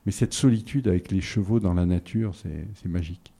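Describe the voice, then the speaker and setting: Low, dark-voiced hesitant man. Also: fairly quick, gravelly. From southern France. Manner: hesitant, many fillers and restarts. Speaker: interview guest. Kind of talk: relaxed conversational interview.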